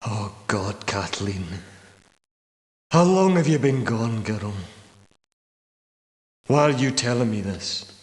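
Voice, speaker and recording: A man's voice: mid-range voice, expressive, takes it slowly, pauses often, darkish tone. A male actor with a Scottish accent playing a weary, wary old war veteran in a video game, guarded and plain-spoken, with echoey reverb. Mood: shaken, sorrowful. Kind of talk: video game character dialogue. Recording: echoey, long hall-like reverb.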